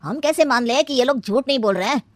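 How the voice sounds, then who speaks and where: A woman's voice: high voice, lively intonation, fast talker, no room sound, clean studio sound. A woman voicing a blunt young brother in a cartoon, challenging everything with sceptical questions. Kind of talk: animated cartoon dialogue.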